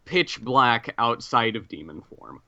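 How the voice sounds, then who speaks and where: A man's voice: medium pitch, very animated, slow pace, sharp tone. A man playing a tabletop game, casually describing and chatting, over dull lo-fi audio. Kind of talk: casual character description and chat.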